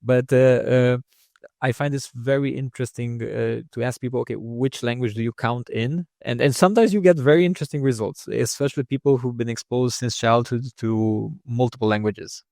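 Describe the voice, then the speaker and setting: A young man speaks English with a non-native accent in a gravelly, creaky voice. He talks casually, stopping and repeating words as he thinks aloud. The sound is dry and close. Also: mid-range voice, steady tone, a little mellow, no room sound. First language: not English. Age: young adult. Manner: halting, many restarts and repeated words. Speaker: guest oncologist. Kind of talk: casual interview conversation.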